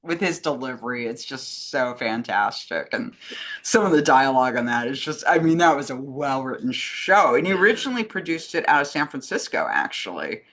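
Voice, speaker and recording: A woman's voice: a bass voice, sing-song, moderate pace, fairly bright tone. A woman in casual conversation, talking in an enthusiastic, rambling way as a co-host. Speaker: co-host answering fan questions. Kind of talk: casual chat.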